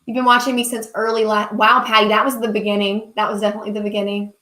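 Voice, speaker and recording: A woman's voice: mid-range voice, level tone, fast, sharp tone. A young woman with a Southern US accent chats casually while working on crafts, gushing and thanking her viewers on a live stream. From South Carolina, US. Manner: chatty and gushing, reading viewer comments aloud. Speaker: crafting channel host. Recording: roomy echo.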